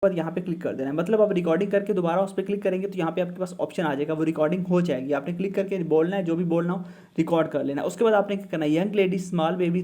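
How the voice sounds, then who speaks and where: A man's voice: sits high, mellow. A man with a Pakistani accent narrates a casual how-to tutorial as its host, giving step-by-step instructions with frequent check-in tags. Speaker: host of a tech tutorial channel.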